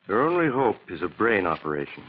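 An actor playing a grave, ominously genial storyteller in 1940s radio suspense style, speaking deliberately. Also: male voice, deep voice, big pitch swings, slight background noise. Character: the Mysterious Traveler, ominous storyteller, also a grave, measured doctor. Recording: dull old 1940s radio recording with music.

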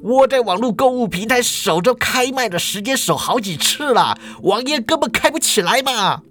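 A man's voice: very high-pitched, expressive, moderate pace, fairly bright tone, voice pushed up. A man voicing an irritated young male gamer who rants in a fed-up way. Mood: annoyed.

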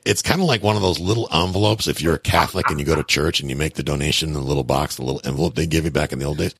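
A male podcast host chatting casually about investing, rambling and self-deprecating as he thinks out loud. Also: low voice, creaky, slightly rough, no echo, clean studio sound.

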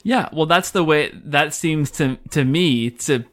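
Male podcast host, slightly high-pitched voice, casual conversational interviewing. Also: unhurried, fairly bright tone.

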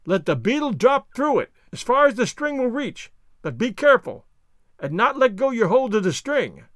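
A man voicing an obsessive, excitable gentleman who gives urgent, impatient orders in formal diction for a storybook reading. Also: very high voice, moderate pace, no room sound, clean studio sound, pitched above the performer's own voice, full character voice.

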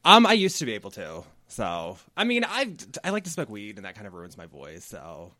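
A man's voice: lowish voice, huge pitch swings, brisk, sharp tone, no echo, studio quality. A man with a Baltimore accent, a podcast guest talking casually with lots of fillers. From Baltimore area, USA. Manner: rambling, many "like"s. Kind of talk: casual interview chat.

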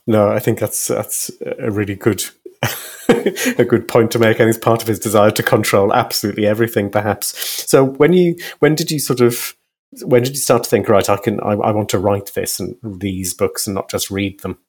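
A man's voice: lowish voice, moderate pace, a little mellow, breathy edge. A man hosting a conversational interview, phrasing his remarks and questions in a tentative, thoughtful way. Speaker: interviewer, host.

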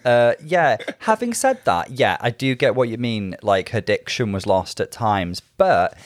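A man co-hosting a podcast, giving thoughtful, measured opinions on a TV show and laughing often. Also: medium pitch, lively intonation, no echo, studio quality. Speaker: podcast co-host. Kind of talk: casual chat reviewing a TV show.

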